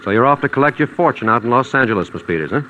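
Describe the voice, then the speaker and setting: A man's voice: medium pitch, animated, racing, sharp tone, highs cut off. A man acting a shrewd, cool, sardonic private detective in a 1940s American radio mystery, with an American accent. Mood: cool, knowing. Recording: noisy vintage 1940s radio recording.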